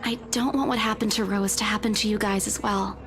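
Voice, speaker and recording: A woman's voice: mid-range voice, fairly even intonation, fairly quick, close to an everyday voice. A woman voicing a caring but guarded young woman in a visual novel game. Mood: guarded, worried. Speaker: video game character.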